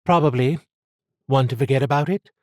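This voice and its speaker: Wry woman spy Trish, raised pitch by a man. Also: brisk, dry recording, clean studio sound, natural voice. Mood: probing. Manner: clipped, wry, understated. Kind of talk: audiobook character dialogue.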